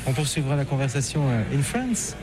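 Male radio host, travel show interviewer, average pitch, conversational delivery, music underneath. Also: sing-song, brisk.

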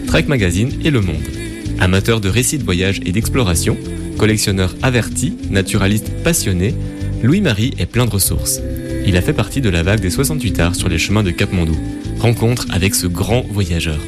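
A man in his thirties with a very deep voice introduces his guest and then asks him questions. His delivery is smooth and composed. Clear music plays underneath him. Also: expressive, fairly quick. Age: 30s. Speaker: interviewer and narrator. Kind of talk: scripted narration and interview questions.

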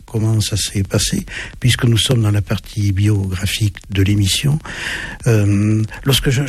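A man in his seventies, a German native speaker raised in southwest France, answering as a guest author on a radio interview in a halting way with many restarts. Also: on the low side, darkish tone, husky. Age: 70s.

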